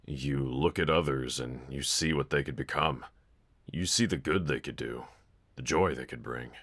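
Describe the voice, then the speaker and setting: A man's voice: rumbling low, moderate pace, fairly bright tone. A man playing a brooding young villain roommate in an intimate audio roleplay, confiding hesitantly and wryly to one listener. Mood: tender, sincere. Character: brooding young male villain roommate, self-loathing but softly grateful.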